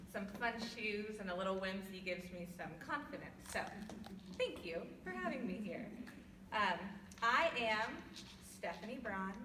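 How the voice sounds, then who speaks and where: A young woman from California with a loud voice, giving a personal talk to an audience in a church hall without a microphone. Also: fairly high pitch, background noise. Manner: loud, laughing, self-deprecating. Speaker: guest speaker. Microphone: no microphone, far from recorder. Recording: echoey hall from far off.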